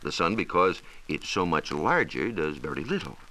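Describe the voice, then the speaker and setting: An American man explaining science in a measured, precise, didactic way, in a scripted 1940s radio style. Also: deep voice, very animated, pushed and bright, low-quality recording. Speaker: science reporter explaining astronomy. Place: radio studio. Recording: hissy 1940s radio transcription disc.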